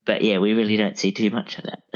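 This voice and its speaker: A man's voice: on the low side, flat delivery, brisk. Hesitant man on a dull lo-fi recording. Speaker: podcast guest, audiobook expert. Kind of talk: casual conversation.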